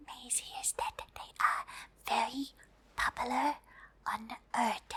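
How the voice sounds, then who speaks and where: A woman voicing a friendly, curious alien in a whispered ASMR roleplay, halting and politely formal, in a soft breathy voice. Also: on the high side, steady tone. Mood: gentle, curious. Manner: halting, hesitant, politely formal, third-person self-reference. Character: friendly curious pink female alien host, shy and hesitant.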